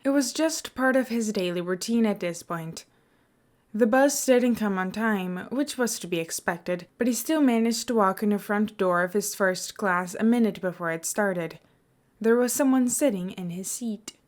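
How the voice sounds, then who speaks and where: A woman's voice: medium pitch, normal speed. A woman reading fiction aloud as a scripted podfic narration.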